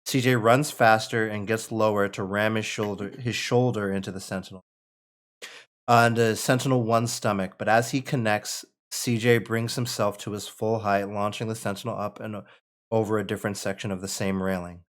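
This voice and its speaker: A low-pitched American man runs a script table read. He narrates and talks casually, like a writer sharing his own work with friends. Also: steady tone, moderate pace. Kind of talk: casual reading of screenplay action and post-read chat.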